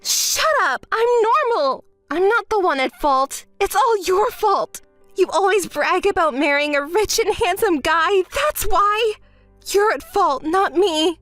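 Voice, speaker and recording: A woman's voice: very high voice, expressive, unhurried, fairly bright tone, dry recording, soft background music, exaggerated voice. A woman voicing a spoiled, entitled young woman who whines and shrieks. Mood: hysterical. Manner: whiny, demanding, shrieking. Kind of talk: dramatized story voice acting.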